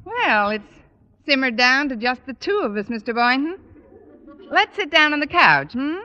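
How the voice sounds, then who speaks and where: Sardonic schoolteacher, bright voice, lively wisecracks, muffled old reverberant radio sound. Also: female voice, high-pitched, normal speed, a little hiss or hum, exaggerated voice. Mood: coy. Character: witty wisecracking female schoolteacher. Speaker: lead actress in radio sitcom. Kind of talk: 1950s radio comedy acting.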